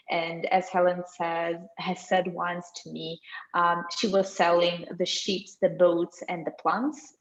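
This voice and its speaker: A woman hosting an online interview, speaking in a hesitant, halting way with repeated words. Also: on the low side. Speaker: host. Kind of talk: spoken introduction of a guest. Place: online video meetup. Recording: dull, lo-fi online call audio.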